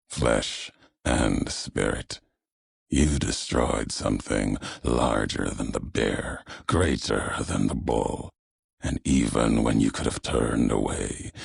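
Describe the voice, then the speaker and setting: A man acting a brooding, vengeful wasteland courier in a video game, with a gravelly, raspy bass and a solemn, ominous, terse delivery. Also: no echo, clean studio sound, full character voice. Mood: accusing, menacing. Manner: slow, solemn, ominous, terse weighty phrasing. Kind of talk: video game character dialogue.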